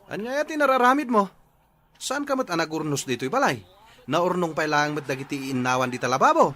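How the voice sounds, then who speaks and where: A man's voice: on the high side, animated, brisk, bright, forceful tone. A man acting a helpless, whiny, grumbling husband in an Ilocano radio drama, with an Ilocano accent. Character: helpless, grumbling husband always late for work.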